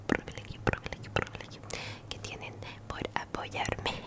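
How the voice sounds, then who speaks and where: A woman whispers in ASMR style, softly repeating words and phrases. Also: moderate pace. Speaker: ASMR creator and channel host.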